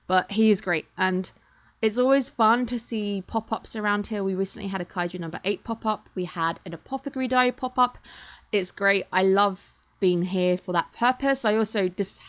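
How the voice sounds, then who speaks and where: A woman's voice: mid-range voice, normal speed, a bit bright. A British woman in her twenties, chatting casually and in a rambling, self-deprecating way as she thanks her channel's viewers. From Britain. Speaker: channel host. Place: home streaming setup. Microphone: computer or webcam mic.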